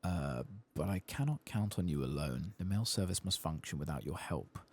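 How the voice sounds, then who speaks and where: A soft-spoken man giving low-key ASMR commentary as he plays a game, muttering to himself. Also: expressive, moderate pace. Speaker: gaming video host.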